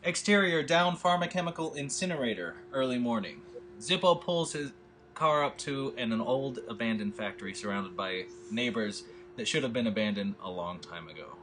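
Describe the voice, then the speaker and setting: A man reading the scene headings and action lines of a screenplay in a table read, in a plain, steady way. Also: medium pitch, normal speed, a little hiss or hum. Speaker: script reader of stage directions.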